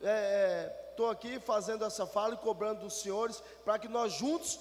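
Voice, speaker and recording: A man with a very high-pitched voice presses a complaint at a council meeting, urging his colleagues to act. He speaks into a table microphone, and the sound carries the long reverb of a large chamber. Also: steady tone, moderate pace. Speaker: city councillor. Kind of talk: formal council speech. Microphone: table gooseneck mic.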